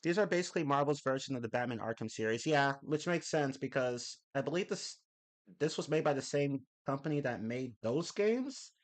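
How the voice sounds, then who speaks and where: A man's voice: medium pitch, expressive, normal speed. Rambling young man streaming with viewers. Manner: rambling, self-correcting, casual swearing. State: slightly off voice after a cold. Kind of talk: casual live-stream chat, reading chat aloud.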